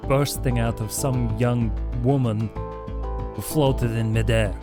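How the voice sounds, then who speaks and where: A man playing a blunt, literal-minded vampire fighter in a tabletop roleplay, with terse, deadpan delivery. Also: mid-range voice, slow pace, music in the background, close to an everyday voice. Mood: deadpan, indignant.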